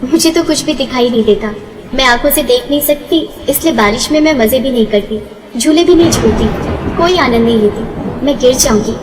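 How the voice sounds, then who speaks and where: A woman's voice: sits high, normal speed, over music, natural voice, poor audio. A woman voicing a sad, timid, innocent blind village girl, plaintive and hesitant, in a moral story.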